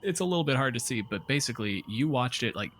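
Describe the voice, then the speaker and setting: A man's voice: medium pitch, expressive, fast talker, a bit bright, a little hiss or hum. A man casually narrating a tabletop game as game master in a conversational, explanatory way.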